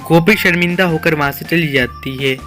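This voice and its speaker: A woman's voice: deep voice, moderate pace, fairly bright tone, faint music behind. A woman reading scripted story narration as the narrator.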